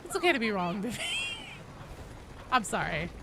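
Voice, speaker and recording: A woman streaming a game and chatting casually with viewers in a rambling, sweary, animated way. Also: medium pitch, moderate pace. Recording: clearly noisy background.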